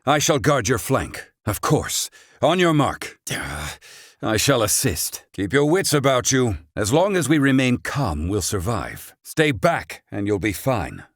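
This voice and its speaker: An actor playing a pompous, sardonic middle-aged male battle mage in a video game, with a raspy voice and archaic, condescending diction. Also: mid-range voice, sing-song, a little slow, no echo, studio quality. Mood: aloof, dry. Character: haughty, sardonic male battle mage, self-styled genius.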